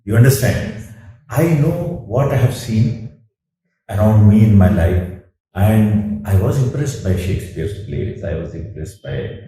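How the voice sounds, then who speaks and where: Older Indian man, Urdu native, very deep and dark voice, halting speech, distant echoey room. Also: expressive. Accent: Indian English. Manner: deliberate, halting, with many fillers. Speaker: theatre director and voice artist. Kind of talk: interview answers.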